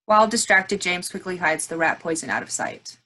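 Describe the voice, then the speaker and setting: A woman's voice: fairly low pitch, big pitch swings, a little fast, sharp tone, rough recording. A woman reading out stage directions as scripted narration at a play's table reading.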